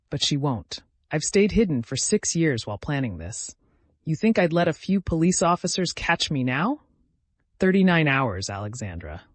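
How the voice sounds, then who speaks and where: A menacing woman schemer, voiced by a male narrator in an audiobook thriller. The delivery is cold and measured, with taunting swings of pitch and a dry, close sound. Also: dry recording. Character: menacing female villain, cold taunting strategist.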